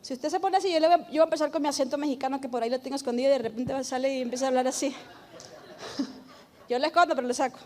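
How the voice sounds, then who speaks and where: A woman pastor preaching a sermon, emphatic and loud, calling on the congregation to repeat after her. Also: sits high, racing. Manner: shouting, emphatic preaching with call-and-response.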